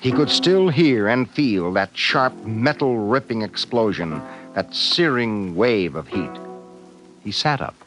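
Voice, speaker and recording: A man narrating a vintage 1950s radio sci-fi drama in a grave, deliberate, suspenseful storytelling manner. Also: lowish voice, expressive, a bit bright. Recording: muffled vintage radio broadcast recording. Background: dramatic music.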